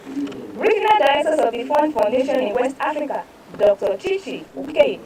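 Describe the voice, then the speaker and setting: A woman news presenter with a Nigerian accent, reading newspaper reports aloud in a formal, halting way. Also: high voice, recorded from a distance, rough recording.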